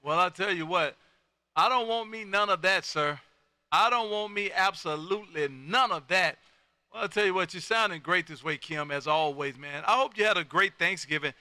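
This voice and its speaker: A man's voice: high voice, moderate pace, bright, forceful tone. A folksy, exuberant man, chatting casually as a ham radio host who peppers his talk with "man". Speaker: amateur radio operator and live stream host.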